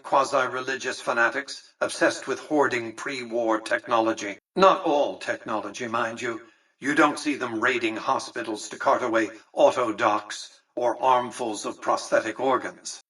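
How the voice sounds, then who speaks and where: Casting: older tycoon-ruler for a game, condescending and calculating, crisp forceful edge over a husky grain, slightly off-mic. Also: male voice, medium pitch, distant mic, poor audio. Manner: pompous, erudite, precise, dryly sardonic. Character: imperious, calculating tycoon ruler of a casino city, condescending and dry. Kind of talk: video game character dialogue.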